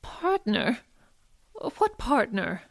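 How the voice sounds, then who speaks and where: A woman's voice: fairly high pitch, big pitch swings, drawn-out words, creaky, heavily acted. A woman playing a haughty, melodramatic, middle-aged wealthy mother, demanding and easily outraged, in a comic audio drama. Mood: imperious. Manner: imperious, melodramatic, gasping outrage.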